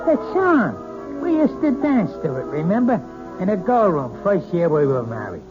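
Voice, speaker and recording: A swaggering, sneering drunk husband; lively pitch, old radio drama, tinny line. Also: male voice, moderate pace, over music. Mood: boozy. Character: boozy bullying husband, middle-aged man. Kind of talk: 1970s radio drama acting.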